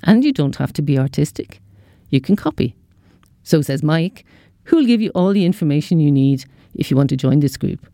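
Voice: mid-range voice, animated, normal speed, warm tone, dry recording, studio quality. A community radio presenter reading a scripted events listing.

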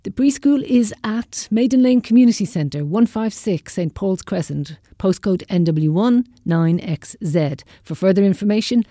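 A woman's voice: mid-range voice, mellow, dry recording, clean studio sound. A woman presenting scripted local event announcements on community radio.

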